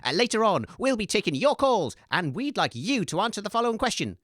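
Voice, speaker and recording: A woman's voice: mid-range voice, sing-song, brisk, no echo, studio quality. A woman playing an earnest, fussy, easily exasperated radio co-host in scripted comedy, hesitant and reproachful.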